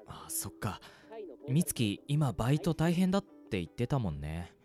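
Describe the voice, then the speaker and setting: A man's voice: medium pitch, very animated, moderate pace, dark tone, soft background music, close to an everyday voice. A man playing a friendly, concerned 22-year-old male university student in an amateur radio drama. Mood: mildly concerned.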